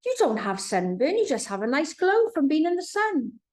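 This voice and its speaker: A woman voicing a kind, reassuring primary school teacher in a storybook, gentle and concerned. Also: high-pitched, very animated, normal speed, pitched above the performer's own voice.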